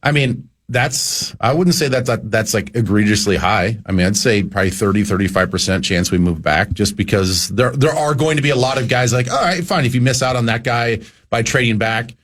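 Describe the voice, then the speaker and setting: A man co-hosting a sports radio show, weighing draft odds in a halting, think-aloud way. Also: mid-range voice, lively intonation, a bit bright. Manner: hesitant, thinks aloud with restarts. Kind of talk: casual sports radio analysis.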